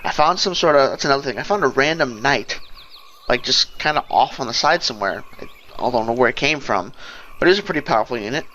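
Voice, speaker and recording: A man's voice: medium pitch, animated, fast, bright, forceful tone, rough recording. A man giving casual, rambling gameplay commentary, thinking aloud as he goes. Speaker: let's play host. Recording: game music behind the voice. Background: fantasy game music and sounds.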